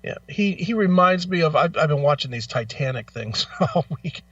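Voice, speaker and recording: A man with a high, forceful voice co-hosts a radio show, giving blunt, profane opinions in loose conversation. The recording is dry and slightly dull, as if he is a bit off the mic. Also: expressive, moderate pace, dry recording, far from the mic. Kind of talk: casual opinionated chat.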